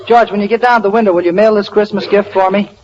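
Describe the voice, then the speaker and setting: Chummy male pal, played by an actor: high voice, casual cheek, muffled vintage radio comedy. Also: steady tone, quick pace, lightly acted. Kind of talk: 1940s radio comedy acting. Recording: noisy muffled 1940 radio, crowd behind.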